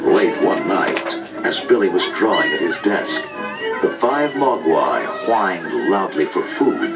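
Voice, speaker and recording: A man's voice: deep voice, big pitch swings, slow, heavily acted. A man narrating a children's read-along story in a dramatic scripted style, from an old record. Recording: 1980s record played aloud, music behind. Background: dramatic music and stock sound effects.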